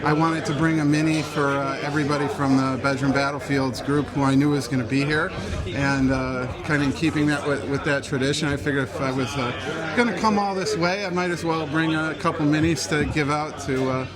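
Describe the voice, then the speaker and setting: A man's voice: on the high side, steady tone, fairly quick. Enthusiastic man in a noisy crowd. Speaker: event attendee being interviewed. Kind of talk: casual chat. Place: busy gaming event venue. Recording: loud crowd chatter behind. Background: crowd chatter.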